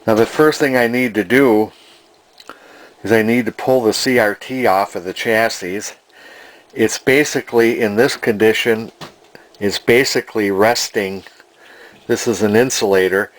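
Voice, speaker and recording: Low-voiced older man, halting delivery. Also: steady tone. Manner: halting, hesitant, rambling. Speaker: vintage TV restorer, video host. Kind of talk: casual spoken workbench vlog. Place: cluttered indoor home workshop.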